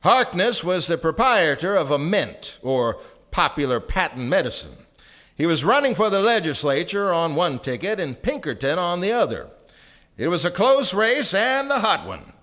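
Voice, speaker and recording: A man's voice: high-pitched, very animated, normal speed, a bit bright. A man narrating a story theatrically on stage, with precise, declamatory delivery. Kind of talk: theatrical storytelling before a live audience.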